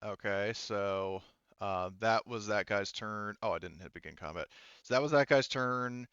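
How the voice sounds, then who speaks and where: A man's voice: on the low side, moderate pace, a bit bright, clear, resonant tone, no room sound, dull audio. A man acting as game master for a tabletop game, talking casually with swearing and explaining the rules.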